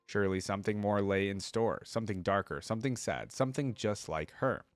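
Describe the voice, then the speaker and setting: A man with a fairly low voice hosts a reaction channel. He moves between reading aloud and casual commentary, thinking out loud in an informal way. The sound is dry, with very little room in it. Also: fairly quick, no room sound.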